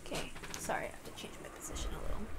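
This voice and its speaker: A woman's voice: rapid-fire, whispered. A woman reading a visual novel aloud and adding casual commentary of her own.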